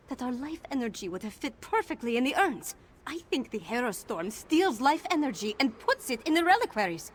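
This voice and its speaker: Young warrior woman from a video game, resolute and earnest, highish voice, bit of background noise. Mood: worried, reasoning. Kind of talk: scripted video game dialogue.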